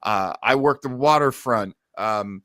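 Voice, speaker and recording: A man's voice: medium pitch, a little slow, fairly bright tone, no room sound, studio quality. A man hosting a show, chatting casually and explaining things in a jokey way. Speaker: host of an old radio show. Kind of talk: casual chat and commentary.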